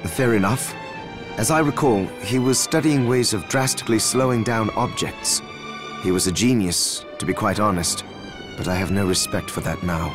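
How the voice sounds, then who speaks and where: A man's voice: on the low side, moderate pace, lightly acted. A man playing an aloof, learned alchemist in a video game, speaking in a curt, formal, cool manner. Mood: bitter. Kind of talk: video game character acting. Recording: music behind the voice.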